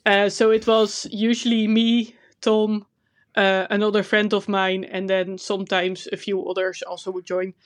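A woman's voice: mid-range voice, level tone, measured pace. Dutch-accented woman co-hosting a chatty podcast. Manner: chatty, laughs often, self-conscious. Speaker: podcast co-host. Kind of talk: casual chat.

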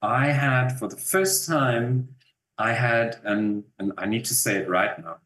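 A man's voice: mid-range voice, a little slow, fairly bright tone, a little hiss or hum. A man in his fifties with a German accent, an actor chatting in an interview, rambling with fillers and restarts. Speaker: actor guest. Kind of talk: casual interview. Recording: roomy echo.

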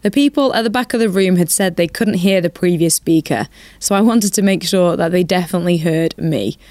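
A woman's voice: fairly low pitch, brisk, darkish tone, dry recording. Woman, sniffly cold voice, candid documentary voice-over, dry close sound. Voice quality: nasal, congested. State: has a cold, bunged up and sniffly. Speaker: documentary narrator. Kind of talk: reflective voice-over narration.

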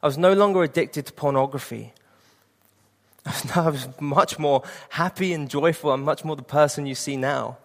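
A man's voice: fairly high pitch, moderate pace, long reverb tail. A man in his late twenties preaching a sermon and sharing an earnest personal testimony. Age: 20s.

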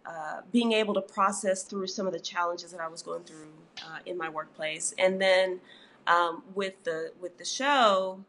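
A woman's voice: lowish voice, a little slow, slight background noise. Reflective woman with many fillers. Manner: warm, reflective, frequent "you know" fillers. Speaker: therapist and author, guest. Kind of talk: conversational interview.

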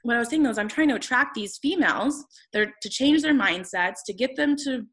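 A woman's voice: a little high, animated, brisk, fairly bright tone. A woman around thirty, a coach and podcast host, talking earnestly in a casual conversation, rambling with many fillers and restarts. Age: 30s.

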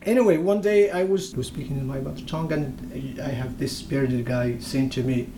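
A man's voice: medium pitch, fairly even intonation, moderate pace, background noise. A young Moroccan man whose first language is Berber, speaking English in an interview, hesitant, with many fillers and restarts. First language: Berber (Tamazight). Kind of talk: conversational interview.

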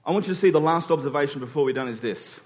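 A man's voice: a little high, very fast. A man preaching a conversational sermon in an informal way, acting out dialogue in everyday words. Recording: long hall reverb.

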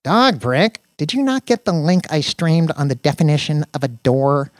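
A man's voice: a little high, animated, moderate pace, no echo, clean studio sound, pitched above the performer's own voice, close to an everyday voice. A man voicing a lanky, nerdy male tech deputy in an audiobook, with a precise, anxious, sardonic manner. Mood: exasperated. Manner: sarcastic, precise, exasperated.

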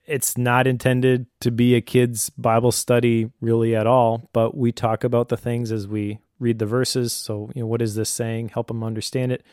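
A man's voice: mid-range voice, level tone, normal speed, few pauses, a little mellow, clear, resonant tone, dry recording. Casual man explaining things, dry close sound. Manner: conversational, explanatory. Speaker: co-host, homeschooling father. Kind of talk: casual advice chat.

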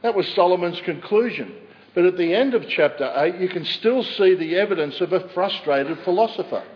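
A man's voice: high-pitched, lively intonation. Preaching man in a reverberant hall. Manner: measured preaching with occasional fillers and self-corrections; says he has a loud voice. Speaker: pastor. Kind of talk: expository sermon. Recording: dull church recording with long reverb.

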